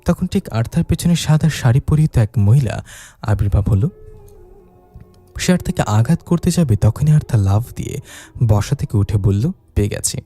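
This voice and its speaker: A man's voice: medium pitch, lively intonation, moderate pace, long pauses, warm tone, faint music behind. A man narrating a ghost story in a dramatized storytelling style.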